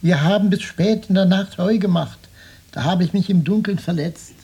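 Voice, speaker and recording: Peasant invalid, very rough husky voice, dark tone, radio drama. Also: female voice, on the low side, moderate pace. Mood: weary, sombre. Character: injured village farm worker waiting at clinic.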